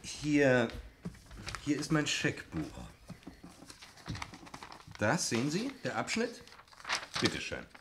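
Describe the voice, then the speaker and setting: Blustering, animated man, noisy old recording. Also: mid-range voice, takes it slowly, long pauses. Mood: defensive. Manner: blustering, hesitant, formally polite. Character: evasive, indignant, polite man under accusation. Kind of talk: 1960s German radio play acting.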